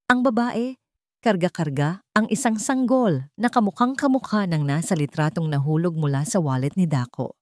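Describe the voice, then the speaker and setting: A woman's voice: mid-range voice, darkish tone, no echo, clean studio sound. A woman with a Filipino accent reading a dramatic story as a scripted narration.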